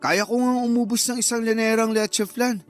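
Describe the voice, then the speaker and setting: An actor playing a flirtatious, flattering suitor in a radio drama dialogue. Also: male voice, extremely high pitch, normal speed, faint music behind. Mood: playful, flirting. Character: flirtatious, flattering suitor chatting up a taken woman.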